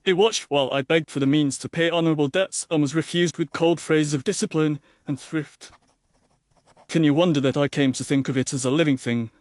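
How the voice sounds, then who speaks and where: A man performs a young, obsessed villain of good family in a period mystery story. His middle-pitched voice is intense and bitter, with florid, impassioned phrasing. The sound is dry. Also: dry recording, natural voice. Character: fanatical, bitter young gentleman villain, unhinged.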